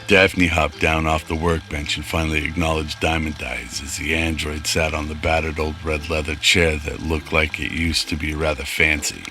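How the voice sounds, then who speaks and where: A man's voice: extremely low pitch, little pitch movement, moderate pace, few pauses, pushed and bright, faint music behind. A man narrating a scripted sci-fi radio drama.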